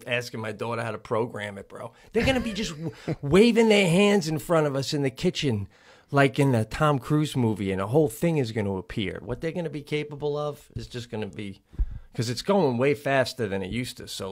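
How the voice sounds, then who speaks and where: A man's voice: medium pitch, moderate pace. A man co-hosting a podcast, talking emphatically in casual chat. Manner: emphatic, addresses listener as bro. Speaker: podcast co-host.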